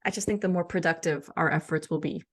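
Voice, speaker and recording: A woman's voice: low-pitched, fast talker. Earnest woman in thoughtful conversation. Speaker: guest, author and cultural intelligence coach. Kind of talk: thoughtful conversational interview answers.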